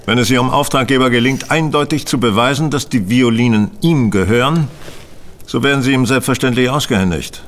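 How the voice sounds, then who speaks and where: An actor playing a composed, stern gentleman detective who questions a culprit formally and incisively in an audio drama. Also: lowish voice, slight background noise, close to an everyday voice. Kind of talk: German radio play acting.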